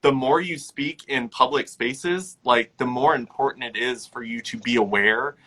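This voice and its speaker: A man's voice: medium pitch, normal speed, sharp tone, slightly rough, rough recording. A man talking reflectively but firmly in a casual conversation, leaning on "like" as a filler. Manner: reflective, lots of "like" fillers, firm.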